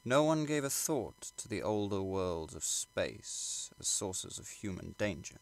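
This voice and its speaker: A man reading literary prose aloud as an audiobook narrator, in a measured, formal and dramatic way. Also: low voice, very animated. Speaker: audiobook reader.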